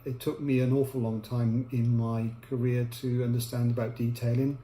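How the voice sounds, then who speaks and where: Measured older male architecture lecturer. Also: mid-range voice, level tone, warm tone. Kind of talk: interview answers. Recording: echoey room with faint hum.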